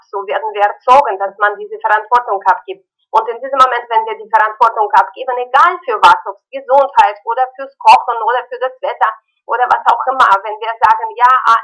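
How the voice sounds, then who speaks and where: A woman with a Russian accent, a Russian native speaker, speaking earnestly as a spiritual healer in an interview, with many fillers and pauses. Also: on the high side, fairly even intonation, pushed and bright. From born in Kazakhstan, raised in Ukraine. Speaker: spiritual healer and seminar teacher. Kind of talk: interview guest explaining her views. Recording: muffled phone line.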